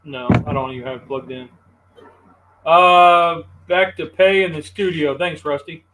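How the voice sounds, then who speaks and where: A man's voice: on the high side, measured pace, bright, forceful tone, poor audio. Chatty man hosting a stream. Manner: easygoing and chatty, talks to the chat. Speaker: host of a live cooking stream. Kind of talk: casual live-stream chat.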